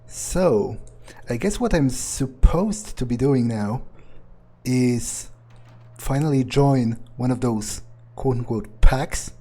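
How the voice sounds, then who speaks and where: A man's voice: mid-range voice, takes it slowly, warm tone. A man thinking aloud in character as he plays a video game, blunt, profane and musing. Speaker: video game player role-playing a character. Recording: game music under the voice. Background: game music.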